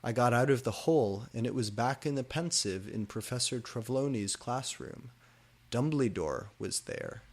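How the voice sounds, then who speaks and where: A man's voice: mid-range voice, moderate pace. A man reading fan fiction aloud as a dramatic comic narration, sounding out garbled words exactly as written. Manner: reads misspellings and typos aloud literally.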